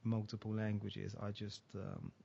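A man's voice: lowish voice, completely flat delivery, unhurried, creaky, warm tone, breathy edge, muffled recording. A man hosting a podcast interview, talking in a friendly, eager way with frequent restarts. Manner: friendly, stumbling restarts, enthusiastic. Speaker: podcast host.

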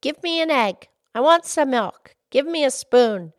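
A woman's voice: fairly high pitch, measured pace, no room sound, studio quality, pitched above the performer's own voice. A woman doing a rude, bossy little boy. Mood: demanding. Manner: bossy, blunt.

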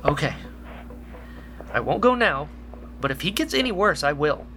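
A woman's voice: deep voice, sing-song, normal speed, a bit bright, a little hiss or hum. A woman playing an earnest, anxious young rebel agent and makeshift medic, speaking hesitantly in a sci-fi audio drama. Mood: worried, insistent.

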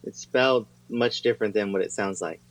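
A man's voice: fairly low pitch, brisk, slight background noise, rough recording. A man co-hosting casually as a language learner, giving brief, good-humored replies and repeating words.

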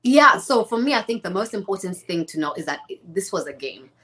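A young woman from Zimbabwe, a guest in an interview, talking in a lively, chatty way with many restarts. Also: medium pitch, brisk. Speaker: interview guest, former reality show contestant. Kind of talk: casual chat.

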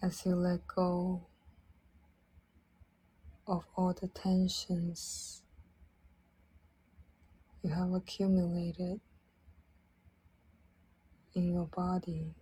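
A woman guides a sleep meditation in a soft, very breathy voice that often slips toward a whisper. She speaks extremely slowly, leaving long pauses between short, gentle phrases. Her tone is dark and mellow, soothing throughout. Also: on the low side, completely flat delivery, poor audio. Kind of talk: guided sleep meditation, slow instructional narration.

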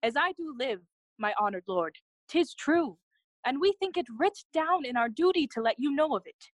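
Loyal young companion to a prince, higher voice, earnest, formal Shakespearean stage speech. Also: female voice, expressive, moderate pace, no echo. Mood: solemn, sincere. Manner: earnest, formal, clearly declaimed. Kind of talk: bilingual Shakespearean audio play acting.

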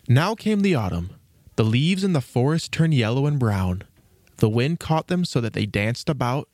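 Expressive male storybook narrator, dry sound. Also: medium pitch, no echo.

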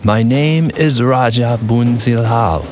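A man's voice: medium pitch, slow, a little mellow, a little hiss or hum, voice pushed up, lightly acted. A man voicing a lovestruck, courtly snake prince under enchantment in a folktale, earnest and pleading. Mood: desperate. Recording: music behind the voice.

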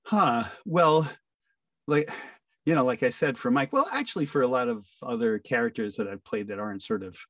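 A man's voice: medium pitch, expressive, moderate pace, fairly bright tone, no echo, studio quality, recorded from a distance. An older man with an American accent, chatting casually as an interview guest in a hesitant, self-deprecating way. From northeastern United States. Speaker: interview guest, amateur-turned voice actor.

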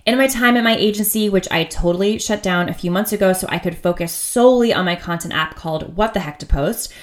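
Woman, 30s, American, podcast host, chatty, upbeat, candid, laughs often. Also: medium pitch. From United States. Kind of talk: casual solo podcast monologue.

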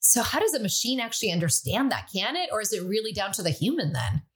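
A woman's voice: medium pitch, big pitch swings, fast talker, a bit bright. A woman whose first language is Croatian, hosting an interview and asking curious follow-up questions. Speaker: podcast host.

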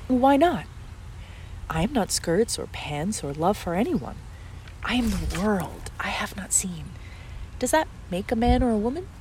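A woman's voice: mid-range voice, very animated, unhurried, darkish tone, a little hiss or hum, rough recording. A woman playing an ancient oak tree newly turned human, earnest and full of wonder, in a radio play. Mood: questioning, earnest.

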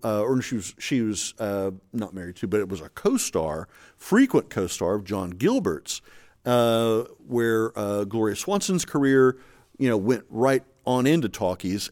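A man's voice: lowish voice, lively intonation, unhurried, darkish tone. A man hosting a film podcast, talking at length about film history in a hesitant way, with lots of fillers and restarts. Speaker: podcast host. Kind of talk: casual film discussion.

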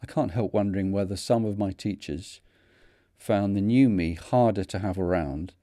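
A man in his fifties with a Manchester accent, hosting a podcast and narrating his own story in a measured, earnest way. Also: lowish voice, warm tone, no echo, clean studio sound. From North of England. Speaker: podcast host. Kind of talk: scripted reflective narration.

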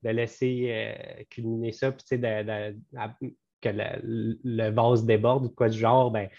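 A man's voice: fairly low pitch, flat delivery, measured pace, creaky, a little mellow. Chatty young Quebec man, dull audio. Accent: Quebec French. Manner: talkative, loose, sprinkled with English words. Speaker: business co-owner and guest. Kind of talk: casual podcast conversation. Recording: slightly dull lo-fi sound.

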